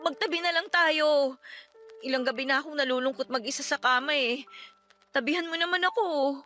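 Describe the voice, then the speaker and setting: A woman's voice: high-pitched, fairly quick, pushed and bright, faint music behind. A woman playing a hurt, clinging wife in a radio drama, with emotional, pleading delivery. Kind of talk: radio drama dialogue in Tagalog.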